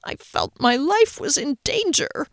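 A fretful, timid grown man, as a woman reader puts him on, whining high and wobbly with big swoops of pitch. He sounds dry and close, easily rattled and sorry for himself. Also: sing-song, measured pace, no echo, full character voice. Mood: petulant.

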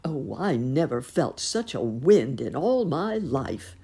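A woman voicing a jolly, playful old gentleman rabbit in a storybook. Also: a bass voice, very animated, slow pace, creaky, breathy edge, exaggerated voice. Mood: cheery.

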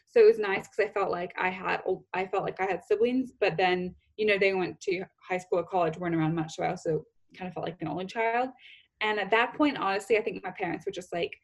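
A woman's voice: medium pitch, quick pace, creaky. A young woman from the Pacific Northwest, a guest in a casual interview, chatty with frequent laughs and fillers. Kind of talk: casual conversation.

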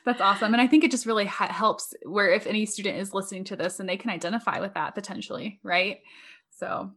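A woman's voice: medium pitch, steady tone, fast talker, a bit bright. Upbeat woman podcast host. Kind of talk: conversational podcast interview.

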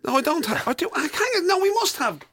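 A man's voice: very high-pitched, very animated, a little fast, fairly bright tone, no echo, studio quality. An Irish man co-hosting a breakfast radio show in casual comic banter, often questioning and incredulous.

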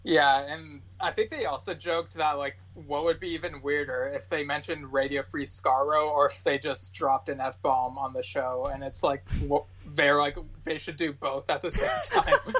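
A man's voice: fairly high pitch, normal speed, hardly any pauses, a bit bright. A man talking casually and informally as a podcast guest, in slightly dull, lo-fi sound. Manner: informal, peppers talk with "like".

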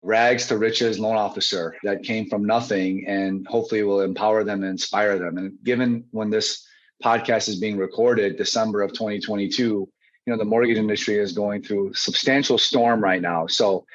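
A man's voice: fairly low pitch, steady tone, normal speed. A man in his thirties from Chicago, telling his own story conversationally as a podcast guest. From Chicago, United States. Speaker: podcast guest, mortgage branch manager and coach. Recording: slightly dull, lo-fi audio.

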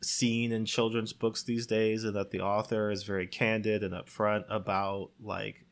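A man in casual conversation, recounting a story in a halting, rambling way with lots of "like". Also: on the low side. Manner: rambling, halting, heavy use of "like". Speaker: podcast co-host. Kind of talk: casual chat, recounting a plot.

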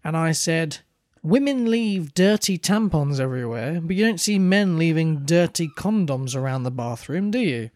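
A man's voice: on the high side, lively intonation, normal speed, hardly any pauses, darkish tone, no room sound. A young man with a British accent co-hosting a podcast, chatting casually with dry, rambling teasing. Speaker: podcast co-host. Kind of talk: casual chat.

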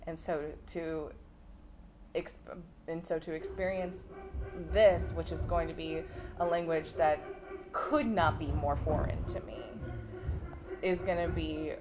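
A young woman in her twenties, a thesis researcher interviewing a theatre maker in a chatty way, full of restarts and quick laughs. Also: low voice, fairly even intonation. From Los Angeles, United States. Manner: chatty, many fillers and restarts, laughs easily. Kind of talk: casual conversational interview. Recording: music playing underneath.

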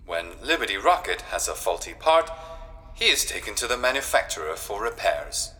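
Low, reverberant robot ship computer. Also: male voice, sing-song, moderate pace, sharp tone. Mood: formal. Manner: clipped, formal machine-like announcements. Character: quirky ship robot computer, formal, drily mischievous. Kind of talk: science fiction audio drama acting.

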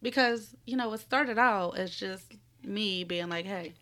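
A woman with a raspy voice from a cold, co-hosting a casual podcast from a room at home, rambling, laughing and swearing freely. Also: mid-range voice, a little slow, sharp tone, slight background noise. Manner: profane, giggly, rambling. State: recovering from a cold. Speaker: podcast co-host. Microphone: studio microphone.

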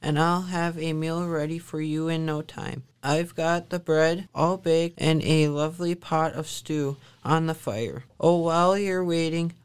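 A woman acting a shrewd, calm giant's wife in a radio drama, coaxing and unflappable. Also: low voice, clean tone.

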